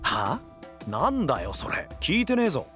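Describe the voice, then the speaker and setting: Grumbling, animated slacker warrior. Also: male voice, fairly high pitch, moderate pace, soft background music, full character voice. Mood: indignant. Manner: slangy, sullen. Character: lazy, grumbling young delinquent-style warrior. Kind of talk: anime voice drama acting.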